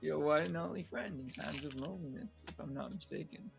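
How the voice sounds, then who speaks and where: A man's voice: fairly high pitch, a little fast, creaky, over music. A man voicing a polite, earnest young assistant in a video game fan dub, with formal, wondering delivery.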